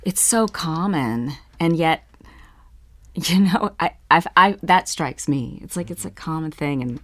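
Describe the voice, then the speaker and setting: A woman talking informally and tentatively in a panel discussion, often halting mid-thought. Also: sits low, animated, fairly bright tone.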